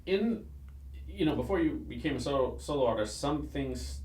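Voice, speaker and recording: Male interviewer, halting questions, mid pitch, echoey room. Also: animated, darkish tone. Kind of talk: oral history interview questions.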